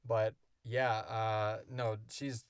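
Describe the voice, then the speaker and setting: A man's voice: fairly low pitch, level tone, drawn-out words, clear, resonant tone, no echo, studio quality. A man hosting a podcast, answering questions in casual, unscripted talk from a small booth. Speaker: podcast host and creator. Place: small enclosed recording booth.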